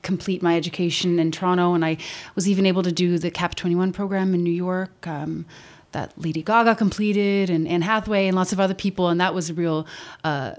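Quick-talking young woman, Albanian native. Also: fairly low pitch, steady tone. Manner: quick, with frequent ums and restarts. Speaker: musician guest. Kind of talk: casual radio interview. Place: radio studio.

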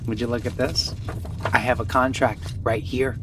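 A woman playing a scheming junior talent agent in a comedic audio drama, flattering and grandiose in persuasion. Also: moderate pace. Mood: boastful. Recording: rumbling car noise.

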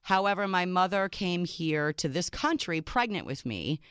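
A woman from Minnesota whose first language is Serbian, co-hosting a radio show in quick, upbeat casual talk. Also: lowish voice, lively intonation, a bit bright, smooth, dry recording, studio quality.

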